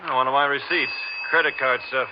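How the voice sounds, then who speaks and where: A man's voice: medium pitch, steady tone, lightly acted. Man acting folksy gas station owner, noisy recording. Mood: obliging, curious. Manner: folksy, halting, obliging. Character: folksy, hesitant small-town male gas station owner. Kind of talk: 1950s radio western drama acting. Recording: muffled, noisy old 1950s radio recording.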